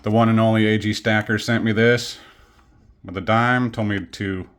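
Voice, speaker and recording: A man casually showing his collection on camera at home, rambling and self-deprecating with many pauses and fillers. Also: fairly low pitch, steady tone, a bit bright, a little hiss or hum. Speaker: coin collecting vlogger. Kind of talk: casual show-and-tell. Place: home studio.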